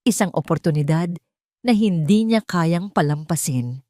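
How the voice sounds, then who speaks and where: A woman with a Filipino accent voicing a heartbroken, gentle young man who confides his past in an audio romance story. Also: on the low side, lively intonation, a little slow, no echo, clean studio sound. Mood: wistful. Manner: confessional, code-switching into English.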